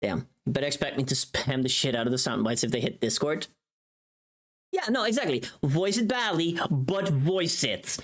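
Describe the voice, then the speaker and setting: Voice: medium pitch, sing-song, normal speed. A livestream host chatting casually while working, informal and sweary, often thinking aloud.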